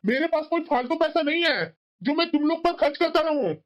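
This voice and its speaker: A woman's voice: high voice, lively intonation, a little fast, dull audio. A woman voicing a resentful, weary husband and father in a cartoon family drama, with a complaining manner. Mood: bitter, irritated. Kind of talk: Hindi moral-story cartoon dialogue.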